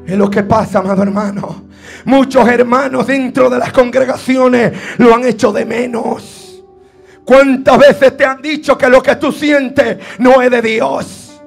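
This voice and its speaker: A man's voice: very high voice, flat delivery, slow, gravelly. A man preaching a fervent Pentecostal sermon in a strained voice, exhorting and insistently repeating his phrases. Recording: preaching over faint background music. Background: faint music.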